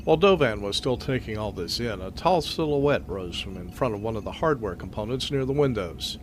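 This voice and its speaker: A man's voice: fairly low pitch, lively intonation, fairly quick, slight background noise. A man narrating a scripted science fiction audio drama in storytelling style.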